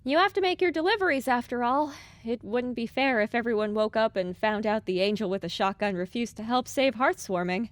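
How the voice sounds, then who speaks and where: A woman playing a spirited, earnest young mare heroine with an expressive, wry delivery in an audiobook drama. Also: a little high, a little fast, few pauses, close to an everyday voice.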